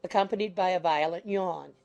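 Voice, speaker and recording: A woman's voice: fairly low pitch, brisk, creaky, a little mellow, muffled recording. A woman reading scripted literary narration as an audiobook narrator. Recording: faint music behind the voice.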